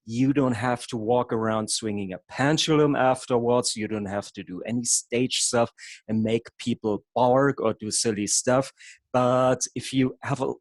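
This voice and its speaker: A man's voice: medium pitch, fairly even intonation, unhurried, dry recording. A man from Germany with a German accent, a hypnotherapist presenting an online workshop in an explanatory way, with occasional stumbles over words. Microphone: video call.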